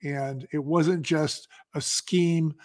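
An American man with a fairly high-pitched voice speaks as a guest in an interview. He tells his story conversationally and makes points emphatically, often posing a question and answering it himself. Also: steady tone, takes it slowly, darkish tone. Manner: emphatic, uses rhetorical questions. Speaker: interview guest, company founder.

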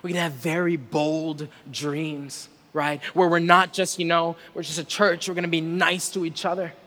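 A man's voice: a little high, flat delivery, moderate pace, a bit bright. A man preaching a conversational sermon, earnest and rhetorical, often checking in with his listeners. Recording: reverberant hall with long echo.

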